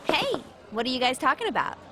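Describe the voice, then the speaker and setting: Flirty waitress, brassy n playful, lively highish voice, game cutscene. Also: female voice, fairly quick, noisy background. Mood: breezy. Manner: playful, breezy. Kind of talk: video game cutscene acting.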